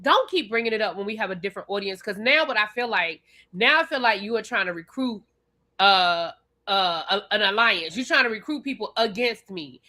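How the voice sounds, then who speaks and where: A woman's voice: fairly high pitch, pushed and bright. Blunt, emphatic woman recapping TV. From Washington, D.C. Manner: emphatic, blunt, repeats points with rising exasperation. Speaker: podcast co-host. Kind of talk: casual recap chat.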